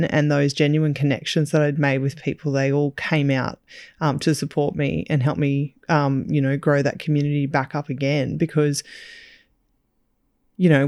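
An animated woman talks through questions on a casual podcast. Her voice has a gravelly, creaky edge. It is recorded close and dry. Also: deep voice, dry recording. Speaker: podcast co-host, business owner.

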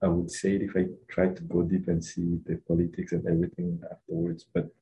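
A man's voice: low-pitched, sing-song, a little fast, creaky, mellow, highs cut off. A young man from Rwanda, a student answering interview questions in a hesitant, casual way with many fillers and restarts. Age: young adult.

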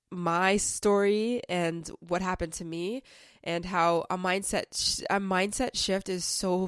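A young woman with a lowish, smooth voice hosts a self-help podcast. She's a bit under the weather, so her voice sounds slightly off, but she keeps talking in a loose, chatty way full of filler words. She's close on the mic in a dry room. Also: no room sound. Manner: chatty and conversational, with frequent "like" fillers. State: slightly sick, voice a little off. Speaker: podcast host.

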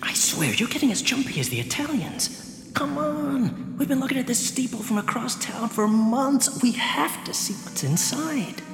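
A bold, bantering male explorer in a radio drama. His delivery swings widely in pitch, wry and coaxing, in a long, echoing hall with music underneath. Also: very animated, normal speed, vocal fry, heavily acted. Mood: impatient, coaxing. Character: bold, teasing male explorer with dry wit. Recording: echoey reverberant hall, music behind.

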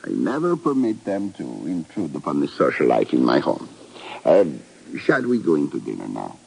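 An actor playing a courtly, hesitant older Mexican landowner with a Spanish accent in a 1950s radio drama. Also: male voice, very deep voice, huge pitch swings, moderate pace, vocal fry, noisy background, exaggerated voice. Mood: politely firm. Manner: formal, gracious, hesitant. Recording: vintage 1950s radio broadcast.

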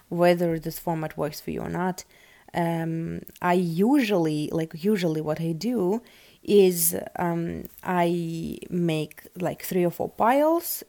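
A woman chats on her own podcast, her voice low with a gravelly edge. She rambles along with lots of "like" and "um." The sound is dry and close to the mic. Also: darkish tone, dry recording. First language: Russian. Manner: chatty, rambling, many fillers like "like" and "um". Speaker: podcast host. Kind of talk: casual solo podcast talk.

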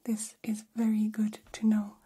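Woman acting curious alien, ASMR roleplay, whispered, mellow, stilted formal phrasing, gentle, very flat intonation. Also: mid-range voice, unhurried, breathy edge.

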